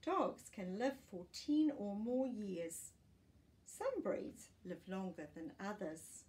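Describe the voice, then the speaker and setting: Woman in her 60s, storytime reader, slow pace, lively pitch swings, teacherly, expressive. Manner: expressive, teacherly, addressing young children with questions. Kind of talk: reading a children's non-fiction picture book aloud with asides. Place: small furnished living room, indoors.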